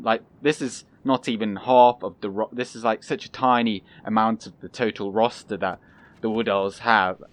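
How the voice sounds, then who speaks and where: A young man giving calm, casual commentary over his own gameplay, soft-spoken and pausing often with fillers. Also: on the low side. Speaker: gaming channel host.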